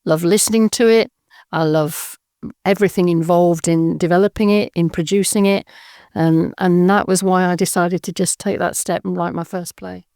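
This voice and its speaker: A woman's voice: lowish voice, fairly even intonation, normal speed, darkish tone, no echo, studio quality. A woman in her sixties from Birmingham, talking thoughtfully as an interview guest, with frequent ums and repeated words. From England, Birmingham. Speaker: guest, audio drama writer and director. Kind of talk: casual interview chat.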